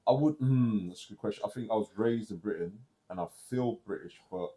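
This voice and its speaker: A man's voice: lowish voice, fairly quick, dark tone, poor audio. A man co-hosting a casual book discussion podcast, laid-back and often murmuring agreement. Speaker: podcast co-host.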